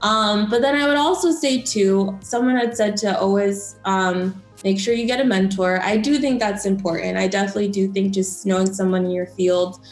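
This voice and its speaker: A woman's voice: medium pitch, steady tone, moderate pace, few pauses. Chatty young woman, echoey room. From Florida. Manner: chatty, encouraging, many fillers. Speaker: broadcast journalist and news anchor. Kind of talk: casual interview advice. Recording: roomy echo, faint music.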